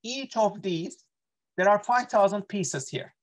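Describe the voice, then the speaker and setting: A man's voice: high-pitched, a little slow. Turkish-accented middle-aged man teaching online. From Turkey. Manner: earnest, many fillers and self-corrections. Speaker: workshop presenter, associate professor. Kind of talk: online workshop talk. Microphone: video call.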